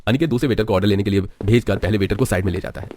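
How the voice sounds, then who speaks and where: A man's voice: on the low side, very fast, darkish tone, soft background music. A man with an Indian accent narrating a scripted story as a storyteller.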